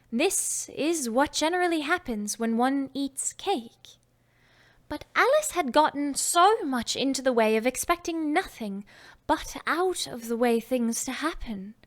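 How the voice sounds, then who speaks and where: A woman reading a children's classic aloud as an audiobook, gentle and expressive, sometimes dropping to a whisper. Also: high-pitched. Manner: gentle, expressive storytelling, drops to whispers at times. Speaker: audiobook narrator. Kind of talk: audiobook narration.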